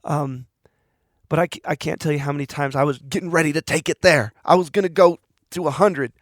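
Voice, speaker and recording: A man's voice: a little high, a little fast, no room sound, clean studio sound. A man hosting a podcast, talking alone in a rambling, earnest way while he is losing his voice. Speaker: podcast host. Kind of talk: reflective solo monologue.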